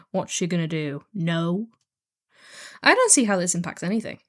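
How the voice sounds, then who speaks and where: A woman's voice: on the low side, sing-song, measured pace, fairly bright tone, heavily acted. Female actor as heartless female kidnapper for hire, audio roleplay: bored, snarky, casual, with swooping intonation. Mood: wry, nonchalant. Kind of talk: scripted one-sided audio roleplay drama.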